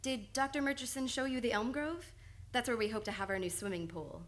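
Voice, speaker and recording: A woman playing a young, earnest psychiatrist with formal, measured speech in an old-time radio play. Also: fairly high pitch, close to an everyday voice. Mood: polite. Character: young, reserved, earnest female psychiatrist, precise and clinical.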